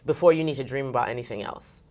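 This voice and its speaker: A woman's voice: rumbling low, quick pace, a little mellow. A woman chatting casually and expressively as an interview guest, with slangy turns of phrase. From Brooklyn, New York. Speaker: interview guest, radio host.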